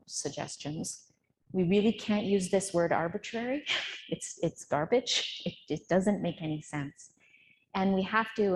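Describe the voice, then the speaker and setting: Low-pitched woman giving an academic talk. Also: darkish tone, slight background noise, rough recording. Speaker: conference speaker, researcher. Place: indoor conference room.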